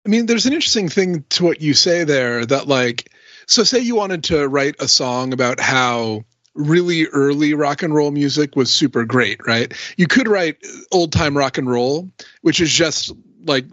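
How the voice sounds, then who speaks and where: A man's voice: fairly high pitch, lively intonation, moderate pace, a bit bright, dry recording, lo-fi sound. A man on a podcast panel, making his points in casual analytical conversation.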